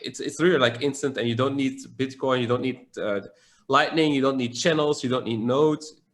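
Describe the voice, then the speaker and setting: A man's voice: mid-range voice, normal speed. A man from Belgium with a Belgian accent, co-hosting a casual live talk show and reading out questions from the chat. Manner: relaxed, reads chat questions aloud. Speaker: podcast co-host.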